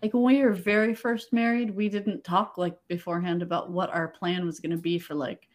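A woman co-hosting a casual podcast tells her story in a chatty, easygoing way. Her voice sits slightly low, and she fills her speech with "like". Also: steady tone, fairly quick. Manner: chatty, frequent "like", light laughter. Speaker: podcast co-host.

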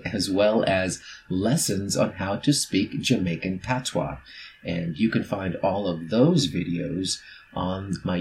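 A man's voice: sits low, a little hiss or hum, rough recording. A man speaking as a guest author, explaining his work in a casual promotional talk. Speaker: featured storyteller, author.

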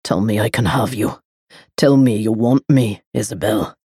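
Woman voicing a dark, possessive male lover, low slow murmur, mellow, dry, storybook audiobook. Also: breathy edge, dry recording, exaggerated voice. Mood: possessive, hushed. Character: brooding possessive man, dark, ageless lover. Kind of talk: audiobook character voice.

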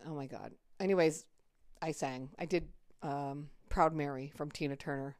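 A middle-aged woman talks casually on her podcast in a low, raspy voice. She is blunt and swears freely. The recording is dry and close. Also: fairly even intonation, moderate pace, a little mellow, no echo. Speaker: podcast host. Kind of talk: casual chat.

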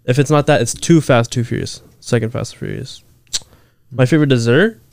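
A young man chatting on a podcast with a mellow, relaxed tone of voice. He jokes around, swears freely and laughs often. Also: medium pitch, fairly quick, gravelly, no echo. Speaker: podcast co-host. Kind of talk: casual chat.

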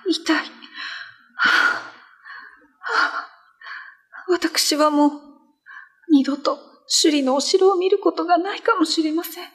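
A woman playing a gentle, devout female prisoner in a radio drama, speaking politely and earnestly. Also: extremely high pitch, measured pace, long pauses. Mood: pained, weak. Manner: polite, earnest, formal speech. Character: gentle, devout imprisoned woman, grateful and weary. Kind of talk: Japanese radio drama acting.

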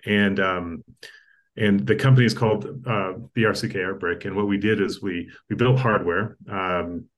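Deep-voiced man from East Africa. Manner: measured, frequent ums and restarts. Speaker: guest, tech entrepreneur. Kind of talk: conversational interview storytelling.

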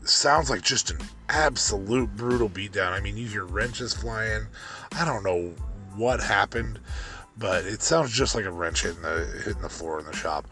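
A man with a deep voice hosting a solo podcast, rambling through a wrestling review with many ums and restarts. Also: normal speed, sharp tone, soft background music. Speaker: podcast host.

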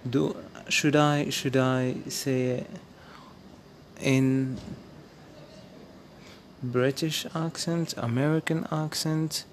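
Very slow, hesitant man. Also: medium pitch, fairly even intonation, slight background noise. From Morocco. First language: Amazigh. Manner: hesitant, searching for words, many restarts. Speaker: vlogger. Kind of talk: casual spoken monologue to viewers.

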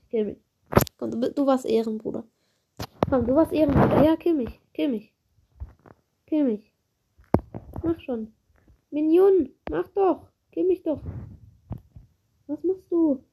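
A woman with a slight cold, giving excitable, chatty commentary on her own gameplay, swearing and apologizing as she plays. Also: sits high, creaky, noisy background. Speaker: gaming video creator.

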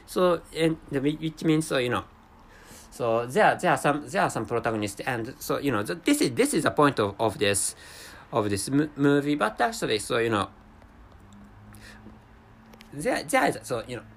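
A man whose first language is Japanese, talking alone as a podcast host and stumbling through his English with constant restarts. His voice is husky and slightly breathy, and his pitch swings up and down in a lively, animated way. He keeps a casual, unscripted tone throughout. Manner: halting, frequent restarts and stumbles. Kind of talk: casual monologue.

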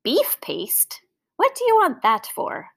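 A woman's voice: very high-pitched, wildly swooping pitch, takes it slowly, vocal fry, a bit bright, pitched above the performer's own voice, exaggerated voice. A woman voicing a mischievous young child in a storybook, with a mock-innocent, sing-song delivery. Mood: mock puzzled.

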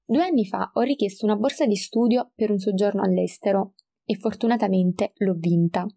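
An eighteen-year-old woman from Italy, a high school student, introducing herself in a measured, orderly way. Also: vocal fry, mellow. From Italy, Campania. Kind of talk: self-introduction.

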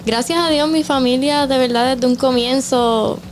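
A woman's voice: sits high, normal speed, slight background noise. A young woman from Puerto Rico with a Puerto Rican accent, chatting informally as an interviewed artist and acting out little quotes as she tells stories. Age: young adult. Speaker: music artist being interviewed. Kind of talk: casual conversational interview.

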